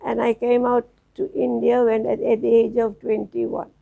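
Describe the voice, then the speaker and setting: A woman in her nineties from South Africa, reminiscing slowly as an interview guest, with halting restarts. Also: a little high, completely flat delivery, phone-quality sound. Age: 90s. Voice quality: gravelly, husky. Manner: slow, halting storytelling with restarts.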